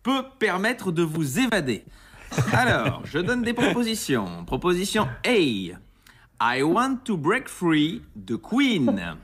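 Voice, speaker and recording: A comedian presenting a joke quiz on a radio show in a jokey, deadpan way. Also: medium pitch, slow, slightly rough. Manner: jokey, deadpan quiz delivery with bursts of laughter. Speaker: radio columnist. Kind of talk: comic radio bit.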